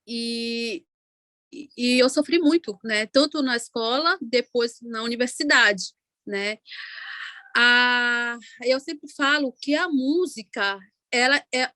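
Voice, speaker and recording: Ticuna-woman singer, unhurried panel talk. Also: a little high, sharp tone, no echo, studio quality. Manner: unhurried, many "né" tags and restarts. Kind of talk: panel talk, personal account.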